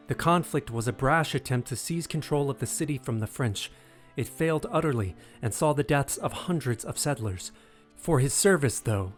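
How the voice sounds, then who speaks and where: A man narrating an audio drama in a scripted, dramatic storytelling style. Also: medium pitch.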